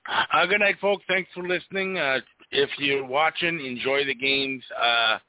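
A man's voice: medium pitch, a little slow. A man co-hosting a casual sports podcast, agreeable and brief. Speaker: podcast co-host. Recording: dry tinny phone-like line.

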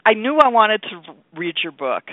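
A man's voice: sits high, big pitch swings, normal speed, dry recording. A man presenting a talk radio show as its host. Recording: narrow phone-like radio line, dry.

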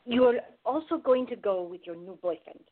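A woman acting a tender, protective woman in love, quietly insecure, in a radio play. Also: fairly high pitch, expressive, normal speed, phone-quality sound. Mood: protective, firm.

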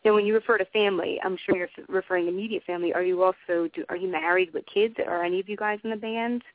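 Quick-talking host on a phone line. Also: male voice, high-pitched, steady tone, dry recording. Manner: quick, chatty questions with backchannel replies. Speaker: interview host. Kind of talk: casual phone interview. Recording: tinny phone line, dry.

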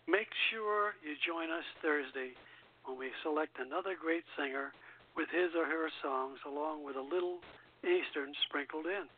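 Higher-voiced male radio host, phone-like sound. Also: moderate pace. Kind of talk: casual hosting and sign-off. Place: call-in internet radio show over phone. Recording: tinny band-limited phone line.

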